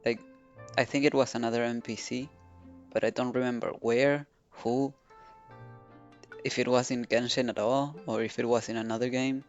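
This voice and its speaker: A woman streaming a video game, giving casual, low-key commentary and reactions. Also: fairly even intonation, normal speed, long pauses, a little mellow, lo-fi sound, faint music behind.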